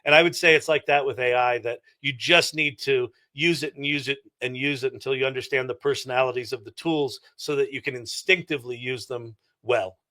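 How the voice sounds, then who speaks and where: A man's voice: medium pitch, steady tone, a little fast, few pauses, pushed and bright. A middle-aged man giving casual, reflective and explanatory answers as an interview guest. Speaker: interview guest, entrepreneur and former actor.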